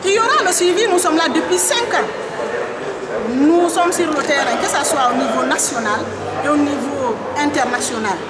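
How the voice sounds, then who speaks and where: A woman's voice: high voice, moderate pace, very noisy. A middle-aged woman from Guinea, a trade union leader, answering press questions earnestly and insistently into a handheld microphone. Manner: earnest, insistent, repeats key phrases. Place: indoor public building, crowded. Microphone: handheld reporter mic held close. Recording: loud crowd chatter behind.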